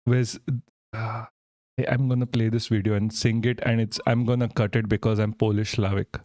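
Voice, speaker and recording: A man's voice: lowish voice, little pitch movement, moderate pace, darkish tone, no echo, clean studio sound. A man live streaming, chatting casually and reading his chat, rambling and swearing freely as he jokes. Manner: rambling, profane, jokey, reads chat aloud.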